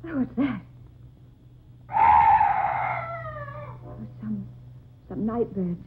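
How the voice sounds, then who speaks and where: A woman's voice: mid-range voice, animated, a little slow, natural voice. An actress playing an anxious woman in an old-time radio drama, speaking in a theatrical, exclamatory way. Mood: uneasy, lost. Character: anxious woman recounting a ghostly rescue. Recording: muffled tinny old radio recording, faint noise.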